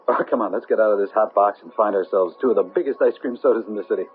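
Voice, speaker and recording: Actor as a low-voiced radio drama everyman. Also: fast, narrow, tinny audio, a little hiss or hum, lightly acted. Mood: puzzled, breezy. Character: Harry, a breezy man being taken over by an alien. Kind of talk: 1970s radio drama acting. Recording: thin band-limited 1970s radio broadcast.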